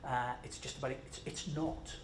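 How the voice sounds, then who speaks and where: A man's voice: mid-range voice, steady tone, normal speed. A Scottish man giving a conversational, self-deprecating presentation at a conference, jet-lagged and full of fillers. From Scotland. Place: large conference room. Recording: echoey conference room with faint noise.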